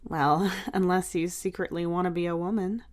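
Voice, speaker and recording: A woman's voice: on the low side, fairly even intonation, moderate pace, close to an everyday voice. A woman voicing a teasing, bossy but caring big sister, a young beautician, in breezy, coaxing audiobook dialogue. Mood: gentle.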